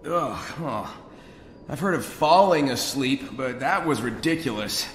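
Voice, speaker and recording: A man's voice: mid-range voice, lively intonation, measured pace, slight background noise, lightly acted. A man voicing a cocky, upbeat teenage hedgehog hero in a video game, breezy and wisecracking. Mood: startled. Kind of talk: animated video game cutscene acting. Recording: music under the voice.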